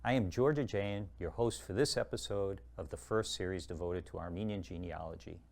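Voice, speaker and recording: A man hosting a genealogy program, presenting in a measured, formal way and interviewing a guest. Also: low-pitched, gravelly, a little mellow. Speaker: host.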